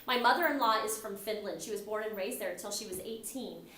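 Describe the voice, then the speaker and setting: A woman's voice: medium pitch, fast, bright, forceful tone, a little hiss or hum. A middle-aged woman from the United States teaching a class in a conversational way, often acting out the remarks she quotes. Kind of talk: recorded classroom lecture. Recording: echoey room.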